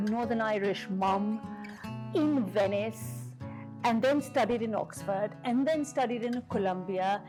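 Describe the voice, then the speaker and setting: A woman with an Indian accent hosting an interview in a chatty, informal, conversational way. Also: medium pitch. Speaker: programme host and interviewer.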